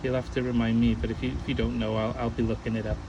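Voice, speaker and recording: A man's voice: mid-range voice, level tone, quick pace, muffled recording. Chatty man on amateur radio, noisy background. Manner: chatty, rambling, uses radio callsigns. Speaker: amateur radio operator, channel host. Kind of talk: casual ham radio chat.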